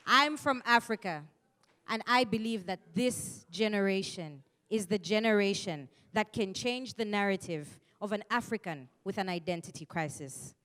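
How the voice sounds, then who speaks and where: A young woman from Zambia giving a rousing speech to a gathering, full of rhetorical questions and building to loud climaxes. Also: mid-range voice, normal speed. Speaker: speaker addressing a fellowship gathering.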